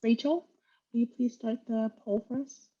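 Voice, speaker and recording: A woman's voice: a little high, steady tone, moderate pace, mellow, lo-fi sound. A woman whose first language is Vietnamese, presenting an online training webinar in an earnest manner, with fillers and restarts, on a video call mic.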